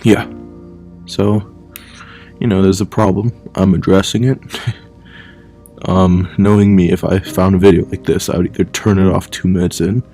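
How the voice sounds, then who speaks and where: A man talking bluntly in a casual monologue for his vlog, rambling and swearing freely, sometimes speaking low and hushed at home at night. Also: steady tone, normal speed, pauses often, soft background music. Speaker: vlogger.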